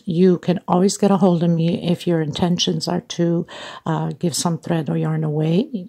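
A middle-aged woman with a congested, stuffed-up voice hosts a chatty crochet tutorial at home and thanks her viewers warmly. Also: fairly low pitch. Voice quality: husky, gravelly voice. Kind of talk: casual step-by-step craft tutorial. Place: at home, table with yarn.

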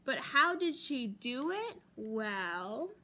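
A young American woman reading a picture book aloud to children as a storytime host, with deliberate, dramatic emphasis on key words. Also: a little high, big pitch swings, sharp tone. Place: library children's room, indoors.

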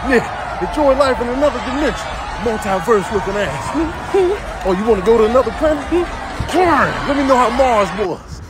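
A man voicing a belligerent, cocky bull in a comic voiceover, shouting taunts between questioning grunts. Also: very high-pitched, big pitch swings, moderate pace, a loud crowd, voice pushed up, low-quality recording. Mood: aggressive, taunting.

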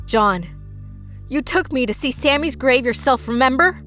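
An intense young woman played by a woman in a dubbed comic drama. Her voice sits high, and she talks with pointed, insistent wounded pride. Light music runs underneath. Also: expressive, moderate pace, a bit bright. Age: young adult. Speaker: voice actor in a comic dub. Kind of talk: dramatic dialogue. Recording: music behind the voice.

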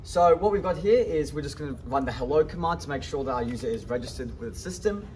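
A teenage man from Sydney introducing himself and explaining a project in a computer lab, in a relaxed, matter-of-fact way. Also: a little high, quick pace, slight background noise. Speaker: student camper. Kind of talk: casual self-introduction.

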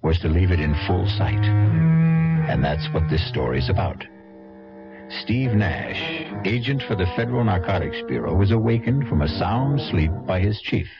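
A suave, sinister host narrates a vintage radio mystery in a low, swooping voice. He is measured and theatrical, with music playing strongly behind him on a muffled seventies recording. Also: sing-song, creaky, full character voice. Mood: measured, ominous. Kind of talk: 1970s radio drama narration.

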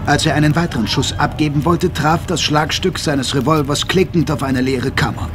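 A man's voice: fairly high pitch, normal speed, background noise. A man delivering scripted, dramatic narration for an audio drama. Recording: music bed under narration.

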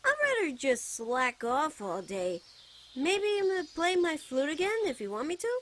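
Woman voicing a high, playful, teasing boy bard. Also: sing-song, a bit bright, a little hiss or hum. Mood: playful, fond. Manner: teasing, giggly, drawn-out phrases. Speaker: voice actor. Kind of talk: ASMR character roleplay.

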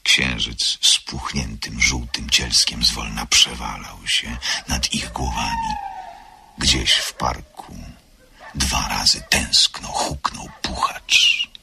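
A man narrating a radio drama in a measured, literary storytelling manner. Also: extremely low pitch, huge pitch swings, vocal fry, sharp tone, a little hiss or hum.

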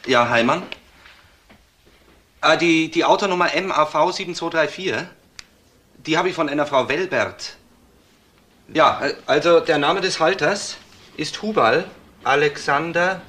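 An actor playing a precise, factual police investigator in a crime radio drama. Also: male voice, on the high side, pushed and bright, exaggerated voice. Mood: businesslike. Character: male junior police investigator, brisk and factual.

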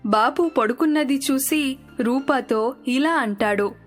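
A woman's voice: high-pitched, slow. A woman narrating a scripted moral story as a storyteller, with music underneath.

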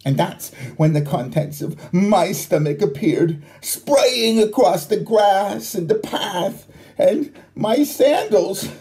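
Animated older male storyteller, mellow voice. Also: high-pitched, slow. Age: 60s. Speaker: children's author and storyteller. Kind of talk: animated bedtime story reading.

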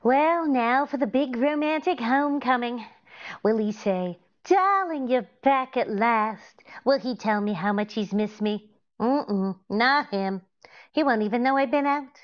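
High-pitched scatterbrained radio wife, played by a woman. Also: lively intonation, a little slow, lo-fi sound. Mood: hopeful, exasperated. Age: middle-aged. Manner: innocent, earnest. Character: sweet, scatterbrained, literal-minded comedian wife. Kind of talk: 1940s radio comedy acting.

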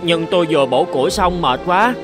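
A man's voice: on the high side, animated, brisk, over music, natural voice. A man voicing a naive, good-natured young peasant in a cartoon fairy tale, eager and wide-eyed. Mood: willing.

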